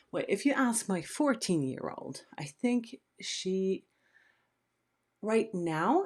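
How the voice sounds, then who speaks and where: A woman's voice: deep voice, darkish tone. A middle-aged woman from Sweden, a native Swedish speaker, hosting a casual live stream and chatting as she reads out viewer questions. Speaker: host.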